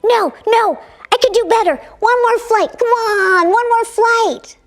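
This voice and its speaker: Spirited young female aviator played by a woman reader, boastful and cheeky, high-pitched, unhurried storybook delivery over music. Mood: pleading. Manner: exuberant, cheeky, dramatic asides. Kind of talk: children's storybook read-aloud.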